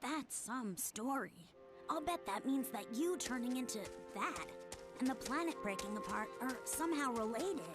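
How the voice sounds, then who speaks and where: Game casting: keen little fox boy, high and lively, loud music underneath. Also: normal speed. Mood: earnest. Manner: earnest, eager. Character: young eager fox boy sidekick. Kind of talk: video game cutscene acting.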